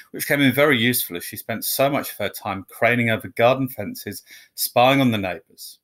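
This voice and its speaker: A man reading a children's story aloud to students, with measured phrasing and expressive, dramatic emphasis. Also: mid-range voice.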